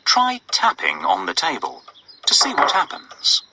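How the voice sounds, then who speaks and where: A man's voice: sits low, wildly swooping pitch, a little slow, gravelly, very noisy. A man narrating a scripted children's story expressively, often putting questions to his young listeners.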